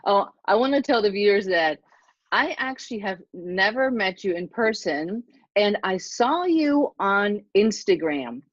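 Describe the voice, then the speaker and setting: A middle-aged woman from Germany hosting a podcast interview, excited and effusive as she introduces her guest. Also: mid-range voice, measured pace, no echo. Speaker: podcast host. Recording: dull dry lo-fi sound.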